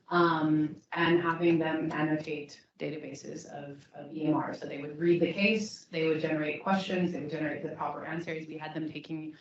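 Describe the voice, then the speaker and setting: A woman founder answering a panel question in a steady, measured way, with frequent restarts. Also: sits low, slight background noise. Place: event hall. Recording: distant mic in echoey hall, dull sound.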